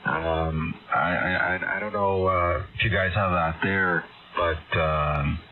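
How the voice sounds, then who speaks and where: Low-voiced man on noisy ham radio. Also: fairly even intonation, takes it slowly, narrow, tinny audio. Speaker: amateur radio operator. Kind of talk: casual radio chat.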